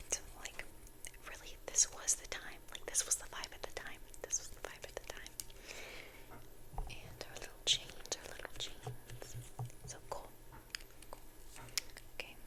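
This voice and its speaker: A young woman hosting an ASMR video in a breathy, unvoiced whisper, rambling and softly repeating phrases. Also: a little slow, long pauses.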